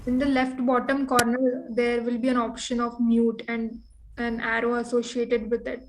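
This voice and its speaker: Indian woman with an even, high voice. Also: moderate pace. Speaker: meeting host. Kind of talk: casual online meeting talk. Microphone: video call. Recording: noisy video call audio.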